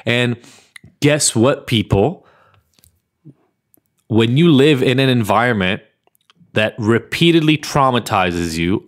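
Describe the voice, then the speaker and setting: A man's voice: fairly low pitch, fairly bright tone. Blunt, emphatic man hosting a podcast. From Israel. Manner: blunt and emphatic, with frequent swearing. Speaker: podcast host. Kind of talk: impassioned solo podcast monologue.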